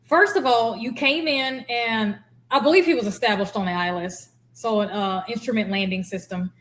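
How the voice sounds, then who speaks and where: A woman's voice: on the high side, moderate pace, fairly bright tone. A woman giving casual, candid explanatory commentary as a former air traffic controller.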